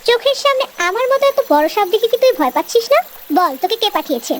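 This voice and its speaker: A woman doing a deep menacing cartoon snake. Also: very animated, fast talker, slight background noise. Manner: growling, taunting, threatening. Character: menacing giant invisible snake, grudge-bearing. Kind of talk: cartoon voice acting.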